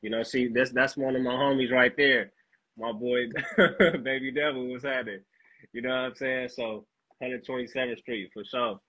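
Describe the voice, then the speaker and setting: A man from Los Angeles, a rapper being interviewed, talking in a laid-back, halting way with lots of fillers. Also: mid-range voice, fairly even intonation, pushed and bright, dry recording, rough recording. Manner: laid-back, halting, fills with "you know what I'm saying". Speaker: guest rapper. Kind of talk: casual interview chat.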